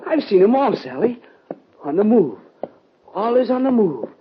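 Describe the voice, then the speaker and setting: Sun-struck young cowboy, high swooping voice, halting rustic talk, pleading, hissy old radio western recording. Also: male voice, big pitch swings, dull audio. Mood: delirious, agitated. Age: young adult. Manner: rambling, halting, rustic drawling speech. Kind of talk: 1950s radio western acting.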